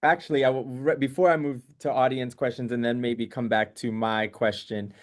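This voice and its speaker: A man's voice: mid-range voice, fairly even intonation, normal speed. A man with a mid-range voice moderates a film Q&A over a video call. He frames his questions carefully and hedges before asking them.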